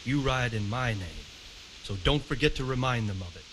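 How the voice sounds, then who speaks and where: A man playing a wary, troubled medieval lord in a video game, speaking with measured authority. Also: mid-range voice, animated, background noise, natural voice. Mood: considering, commanding. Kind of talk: cinematic game dialogue.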